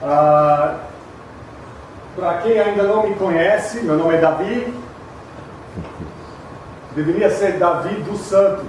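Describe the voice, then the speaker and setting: Middle-aged Brazilian man, pastor giving a humorous testimony, high voice, echoey hall with steady noise. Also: slow, long pauses. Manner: chatty storytelling, frequent tag questions, laughing asides. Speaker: pastor, retreat speaker. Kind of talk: humorous personal testimony sermon. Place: large echoey hall at a retreat. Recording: very echoey hall, distant mic, background noise.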